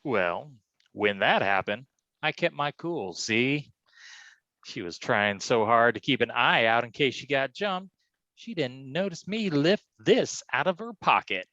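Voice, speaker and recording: A man's voice: medium pitch, expressive, a little slow, sharp tone, no room sound, lo-fi sound. An actor playing a wisecracking, stubborn private detective who talks in slangy tough-guy style in a staged reading. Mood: cocky, determined. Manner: slangy, folksy tough-guy talk peppered with "huh?". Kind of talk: hardboiled detective stage acting.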